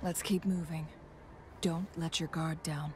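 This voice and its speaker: An actress voicing a composed, shrewd young female counselor in a game, speaking in a measured, formal way. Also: deep voice, steady tone, noisy background. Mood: analytical, guarded. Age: young adult. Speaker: game character. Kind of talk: scripted game dialogue.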